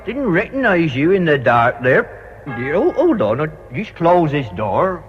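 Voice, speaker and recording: An actor playing a boozy, resentful English cathedral guide in a radio drama, colloquial and blustering, with a husky voice. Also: male voice, on the high side, wildly swooping pitch, measured pace, soft background music. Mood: wheedling, grumbling. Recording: muffled radio drama, reverberant hall.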